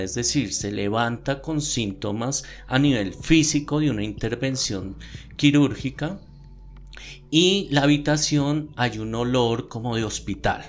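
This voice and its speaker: A man with a Bogotá Colombian accent telling stories and explaining in a conversational, slightly hesitant way on a podcast. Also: medium pitch. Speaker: podcast panelist. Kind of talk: conversational storytelling. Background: music.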